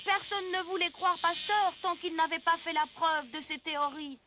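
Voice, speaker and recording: A woman's voice: very high voice, moderate pace, background noise. A woman giving scripted, didactic storytelling narration for an educational history cartoon.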